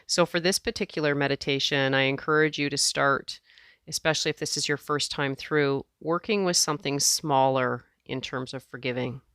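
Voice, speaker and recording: A woman teacher leading a guided meditation in a gentle, measured way, leaving space between phrases. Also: a bass voice, fairly even intonation, smooth, no room sound, clean studio sound.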